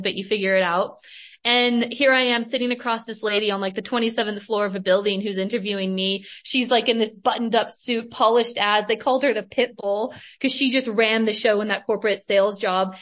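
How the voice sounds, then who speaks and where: A woman's voice: mid-range voice, a bit bright, muffled recording. A woman in her thirties from Northern California, hosting a podcast and telling her story in an emphatic, motivational way, repeating phrases and swearing mildly. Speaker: podcast host.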